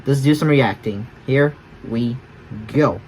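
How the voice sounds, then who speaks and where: A man gives casual reaction commentary in a mid-pitched voice, with some noise in the recording. He rambles along and often corrects his own wording as he hosts. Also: takes it slowly, darkish tone, poor audio. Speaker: reaction video host.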